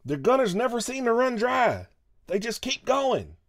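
Man playing an urgent, high-voiced soldier. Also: big pitch swings, moderate pace, no room sound, studio quality. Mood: urgent alarm. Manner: urgent, strained. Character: embattled male soldier under fire, urgent and strained.

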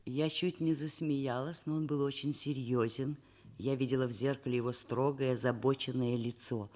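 A woman's voice: very deep voice, steady tone, normal speed, dark tone. Wry middle-aged woman professor. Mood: observant narration. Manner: ironic, articulate, literary. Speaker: professor, narrator of the story. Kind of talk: Soviet radio drama, narration and dialogue.

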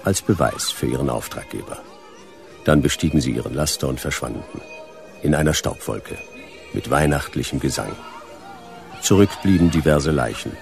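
An actor playing a world-weary hard-boiled detective in a radio drama, laconic and deadpan. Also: male voice, very deep voice, normal speed, gravelly, pauses often, faint music behind, heavily acted. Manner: laconic, deadpan, clipped sentences. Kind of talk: German radio drama narration and dialogue.

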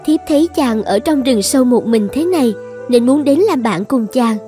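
A mysterious young cartoon maiden, acted by a woman, coy and coaxing. Her voice is high yet soft-toned and mellow, with faint music behind. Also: lively intonation, normal speed. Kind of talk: cartoon fairy-tale dub.

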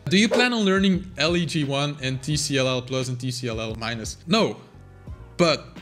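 Chatty young man, quick delivery. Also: medium pitch, expressive, music in the background. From Belgium. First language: Flemish. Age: young adult. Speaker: channel host. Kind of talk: casual Q&A answering viewer questions. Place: small home room, indoors. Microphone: fixed camera, seated at desk.

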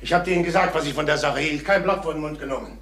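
A middle-aged actor playing a troubled travelling salesman in a crime radio play, halting, repeating himself and on the defensive. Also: on the high side, fairly bright tone, lightly acted. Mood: bitter. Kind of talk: German crime radio drama acting. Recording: noisy vintage radio drama recording.

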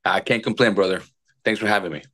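A man's voice: on the low side, fast, sharp tone. A man in his forties from Venezuela, a Marine veteran guest, talking politely and earnestly on a phone video call. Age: 40s. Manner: polite, earnest, many fillers. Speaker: guest, retired Marine officer. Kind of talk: casual interview. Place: indoor room. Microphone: phone at arm's length.

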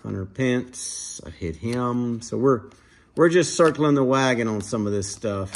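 A man casually commenting to viewers while opening trading card packs at a dining table at home, in a relaxed, chatty way. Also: mid-range voice, measured pace. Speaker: card breaker, channel host.

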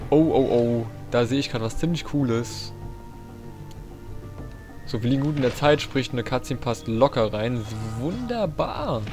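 A young man giving casual, excitable video game commentary, talking to himself with playful exclamations. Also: medium pitch. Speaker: let's play host.

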